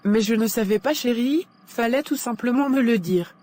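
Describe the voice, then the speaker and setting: Woman playing a gentle, eager-to-please cartoon wife. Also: fairly high pitch, moderate pace, lightly acted. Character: devoted, eager-to-please housewife, gentle and accommodating. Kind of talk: scripted animated drama dialogue.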